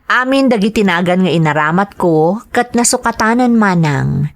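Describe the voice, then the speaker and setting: A woman's voice: medium pitch, animated, normal speed. A woman radio host with an Ilocano accent and a mid-range voice. She reads scripted material aloud, drawing out the ends of phrases. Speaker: radio drama host reading greetings and a listener's letter.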